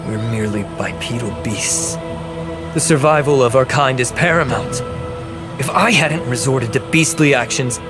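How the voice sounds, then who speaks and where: Guy doing a scheming old dragon clan elder for a game, proud n formal, measured grand delivery, music behind him. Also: mid-range voice, close to an everyday voice. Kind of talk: dramatic video game voice acting.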